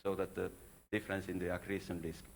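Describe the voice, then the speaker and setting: A man giving an informal scientific conference talk as a researcher, speaking haltingly and often correcting himself mid-sentence. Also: sits low, little pitch movement, vocal fry, slight background noise. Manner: halting, frequently self-correcting, informal phrasing.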